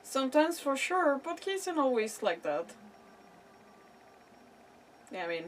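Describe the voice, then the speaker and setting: A woman's voice: high voice, very animated, measured pace. A woman giving casual, rambling game commentary as a streamer, often interrupting herself. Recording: distant mic, game noise behind.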